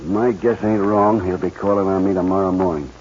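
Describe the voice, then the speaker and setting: An actor playing a ruthless, domineering land baron and gang boss in an old-time radio western, folksy and threatening. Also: male voice, deep voice, steady tone, fairly quick, a little mellow, lightly acted. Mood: low, scheming. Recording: muffled, noisy vintage radio recording.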